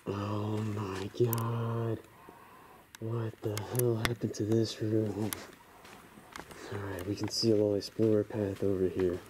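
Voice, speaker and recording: A young man with a low voice talks very slowly and casually, in loose, sweary slang. He sounds like an explorer reacting aloud on a handheld camera, in echoey abandoned rooms with noticeable noise. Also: little pitch movement. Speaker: urban explorer and vlogger. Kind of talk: casual exploring vlog chat. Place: inside large abandoned hospital building. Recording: echoey empty building, noticeable background noise.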